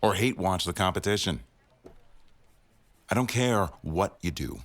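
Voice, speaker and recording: A man playing a teasing, bossy but doting newsroom boss in an intimate boyfriend roleplay audio, with a wry, unhurried delivery. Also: low voice, lively intonation, no room sound. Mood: firm, concerned.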